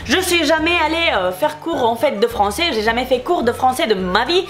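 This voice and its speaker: A young woman from Spain speaks French with a Spanish accent, talking fast and heated in a casual beauty vlog as she answers nasty comments. Her voice sits high, and she circles back over the same points again and again. Faint music plays underneath. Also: animated. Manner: heated, irritated, repetitive. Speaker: beauty YouTuber.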